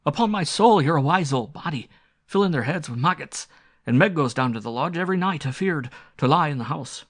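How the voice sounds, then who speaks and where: Man voicing storybook country squire, blustering, fearful, hot-tempered, raised emphatic voice, gravelly edge. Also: on the high side, animated, a little fast, voice pushed up. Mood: angry, scornful. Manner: blustering, rustic, emphatic speech.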